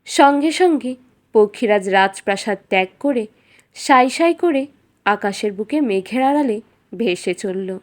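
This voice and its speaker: High-pitched woman narrating a fairy tale. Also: lively intonation, unhurried. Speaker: storyteller.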